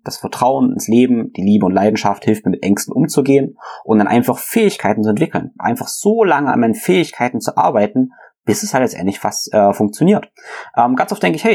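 A man's voice: mid-range voice, warm tone. A young man hosting his own podcast, talking earnestly and reflectively about his path, with frequent fillers and repeated words. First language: German. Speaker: podcast host. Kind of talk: reflective solo podcast monologue.

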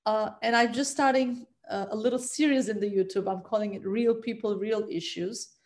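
A woman whose first language is Turkish speaks gently and earnestly as a trauma therapist guest in a casual interview, often pausing and hesitating. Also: medium pitch, steady tone. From Turkey.

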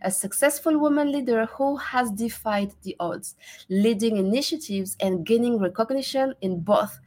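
A woman's voice: fairly high pitch, moderate pace, a little mellow. A woman hosting an interview podcast, asking questions and giving short encouraging affirmations. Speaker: podcast host.

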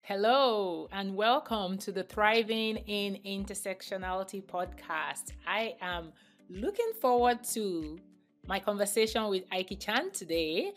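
A woman's voice: medium pitch, unhurried, faint music behind. A woman hosting an interview podcast, upbeat and enthusiastic, with emphatic swells as she greets her guest. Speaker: podcast host.

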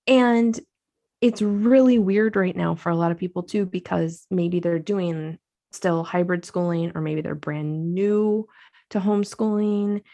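A woman's voice: lowish voice, steady tone, unhurried, gravelly, dark tone. A woman co-hosting a podcast, chatting casually with her sister, with lots of fillers and easy laughter. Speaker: podcast co-host. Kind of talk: casual chat.

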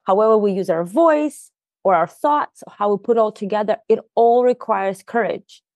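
A woman's voice: medium pitch, normal speed, darkish tone, dry recording, highs cut off. Earnest Russian-native woman coaching on talk. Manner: earnest, explanatory, lots of "you know" and "like". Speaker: podcast guest, leadership coach. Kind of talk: conversational podcast interview.

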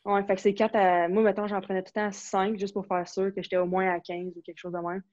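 A relaxed young woman with a Quebec French accent who talks in a loose, chatty way, piling on fillers and restarts. Her delivery stays flat, with little rise and fall. The recording is dry, a bit dull and lo-fi. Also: medium pitch, fast, no echo. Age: young adult. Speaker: interview guest, university hockey player. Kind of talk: casual conversational interview. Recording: slightly dull, lo-fi sound.

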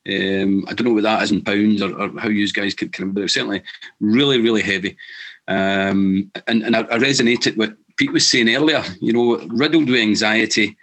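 Earnest Scottish man, lowish voice. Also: fairly bright tone. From Scotland. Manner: earnest, halting, many restarts. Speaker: guest. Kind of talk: casual storytelling.

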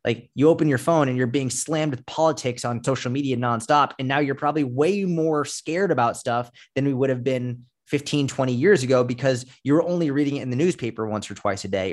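A man in his thirties, a fitness coach, talking as a podcast guest in an emphatic, explanatory, casually sweary way. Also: medium pitch. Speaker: fitness coach and content creator, interview guest.

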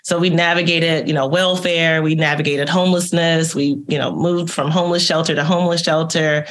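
A woman's voice: low-pitched, steady tone, brisk. Texas woman telling her story on a podcast, earnest, lots of you know and um. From Texas, USA. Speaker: therapist, guest. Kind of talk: personal storytelling in an interview.

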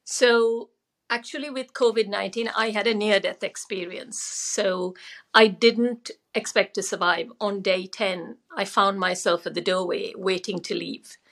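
A woman's voice: mid-range voice, fairly even intonation, measured pace, a bit bright. A middle-aged woman from Sri Lanka, telling her personal story conversationally as a podcast guest. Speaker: podcast guest, former doctor and wellness coach.

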